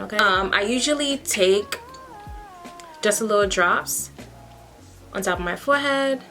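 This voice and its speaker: A young woman from Haiti chats her way through a beauty routine, frank and animated, tagging her points with a quick "okay?". Her voice sits at an ordinary middle pitch, and soft music plays low behind her. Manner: chatty, frank, says "okay?" often. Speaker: beauty vlogger. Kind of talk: casual get-ready-with-me chat.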